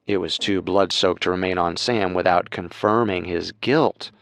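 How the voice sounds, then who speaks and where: A man's voice: sits low, moderate pace, creaky, a bit bright, no echo, studio quality. A man hosting a true-crime show with scripted narration. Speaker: host and narrator.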